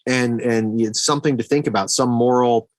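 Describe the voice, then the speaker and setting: A middle-aged man in a casual podcast discussion about films. His voice sits at an ordinary male pitch and the sound is dry, without room reverb. He thinks aloud, doubling back on words as he forms his point. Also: steady tone, moderate pace. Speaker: podcast co-host reviewing movies.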